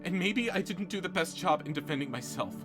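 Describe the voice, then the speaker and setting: A man's voice: high-pitched, expressive, brisk, over music. A man doing Flaffy, a stammering apologetic woman. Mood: earnest. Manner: stammering, pleading. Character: defensive, apologetic female contestant.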